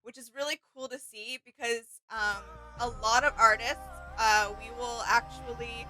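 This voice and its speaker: A woman's voice: a little high, fairly even intonation, unhurried, sharp tone, faint music behind, rough recording. A woman chatting casually and enthusiastically as co-host of a reaction video. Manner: enthusiastic, appreciative. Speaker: co-host of a reaction channel, artist.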